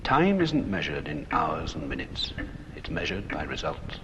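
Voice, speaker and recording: Really deep guy narrating old 70s training film, solemn and rhetorical, lively intonation, dull noisy audio. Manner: solemn, rhetorical, measured emphasis. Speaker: narrator and presenter. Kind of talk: scripted corporate film narration. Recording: muffled, noisy 1970s 16mm film soundtrack.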